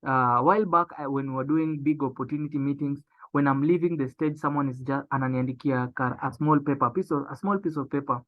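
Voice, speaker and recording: A young man giving a motivational business training talk. He speaks emphatically, repeats his key phrases and slows down to stress them. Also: medium pitch, fairly even intonation. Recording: tinny phone-like sound.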